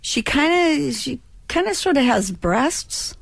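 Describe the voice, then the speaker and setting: A woman's voice: sits high, sing-song, takes it slowly, creaky, dry recording. A woman in her sixties from California, a former child actor chatting and joking as a radio guest, with a slight lisp. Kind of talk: casual radio interview chat.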